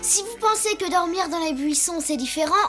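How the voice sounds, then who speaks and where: A woman's voice: very high voice, lively intonation, a little fast. A woman voicing a polite, earnest young elephant boy who is eager to please, in a dubbed cartoon. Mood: storytelling. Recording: music behind the voice.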